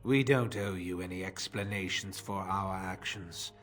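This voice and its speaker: Slow-paced, solemn zealot priest. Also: male voice, sits low, a bit bright. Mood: stern, guarded. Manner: grave, pompous, preaching. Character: solemn zealous male village cult priest. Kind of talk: formal sermon-like video game acting.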